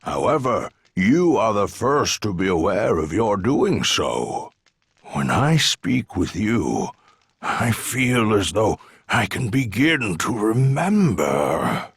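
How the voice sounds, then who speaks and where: A gravelly, deep-voiced, unhurried island hermit. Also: male voice, big pitch swings, dry recording, studio quality, heavily acted. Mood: musing. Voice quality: gravelly, husky. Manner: courteous, wistful, unhurried. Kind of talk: video game cutscene acting.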